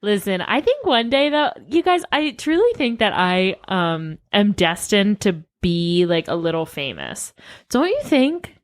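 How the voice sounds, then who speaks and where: A woman with a very animated voice that sits a little low, caught close and dry. She talks like a comedy podcast co-host, playfully dramatic and full of mock indignation. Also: a little slow, no room sound. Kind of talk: comedic casual podcast chat.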